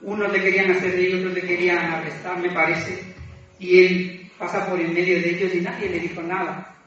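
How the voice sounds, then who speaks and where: Male preacher, high voice, halting and humble delivery of an informal sermon; distant, very reverberant recording. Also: flat delivery, fairly bright tone. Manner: halting, self-deprecating, repeats phrases. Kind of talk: informal sermon, exhorting and confessing. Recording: echoey room, distant mic, muffled, music behind.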